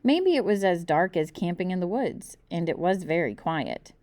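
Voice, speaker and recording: A woman's voice: fairly low pitch, normal speed, no echo. A woman reading a children's book aloud as storyteller, expressively narrating in the first person and acting out the dialogue. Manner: animated, acts out the dialogue.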